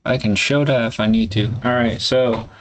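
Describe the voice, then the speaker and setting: A man in a candid solo vlog, thinking aloud in a halting, hesitant way and swearing casually. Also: medium pitch. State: congested. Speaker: vlogger.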